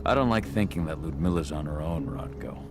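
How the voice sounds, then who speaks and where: Very deep-voiced, stern protective husband. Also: male voice, expressive, fairly quick, loud music behind the voice. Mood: worried, stern. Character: stern, protective middle-aged werewolf husband and father.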